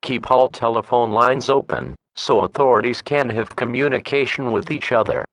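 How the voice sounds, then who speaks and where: A synthetic man's voice reading a formal scripted emergency alert in a flat, measured manner. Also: mid-range voice.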